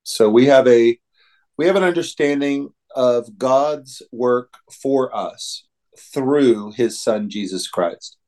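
An American man co-hosting a religious podcast, teaching in a deliberate, halting way. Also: mid-range voice, a little mellow.

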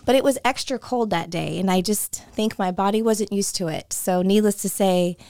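Hoarse woman podcast host, chatty solo talk, dry close sound. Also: medium pitch, normal speed, darkish tone, no room sound. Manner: chatty and conversational, with frequent restarts. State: hoarse, voice coming and going.

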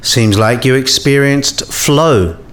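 A man playing a learned, teasing professor in a radio play, deadpan and lecturing. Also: mid-range voice, slow. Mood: dry, explanatory. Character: teasing, dry-witted male professor, learned and smug. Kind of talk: acted two-person dialogue.

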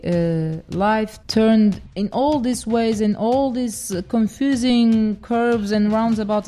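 A woman in her forties, from the Dominican Republic and Portugal, telling her story as a podcast guest with many pauses and restarts. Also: medium pitch, warm tone. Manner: hesitant, many fillers and restarts. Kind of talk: casual interview talk.

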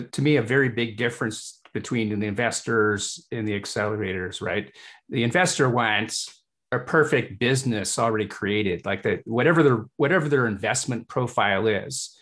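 A man from England hosting a casual interview, with halting phrasing that circles around its point. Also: fairly low pitch, fairly bright tone. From born in Hull, Yorkshire, England. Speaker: podcast host.

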